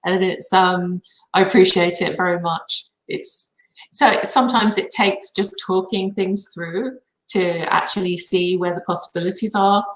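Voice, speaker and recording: A woman's voice: on the low side, steady tone, a little slow. A woman chatting casually as a guest on an online call. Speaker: guest receiving a card reading. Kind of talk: casual chat. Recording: roomy, echoey online call.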